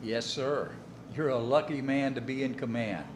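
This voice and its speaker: An actor playing an eager, confident naval officer, respectful and folksy, in a wartime drama. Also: male voice, medium pitch, moderate pace, natural voice. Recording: faint background noise.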